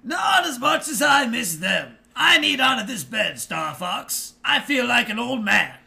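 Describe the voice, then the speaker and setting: A creaky old hound general. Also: male voice, big pitch swings, measured pace, sharp tone, exaggerated voice. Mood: gruff bluster. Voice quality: raspy, gravelly. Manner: booming, jovial, drifts into confusion. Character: old male hound general, senile, hearty, bedridden. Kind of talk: fan audio drama reading.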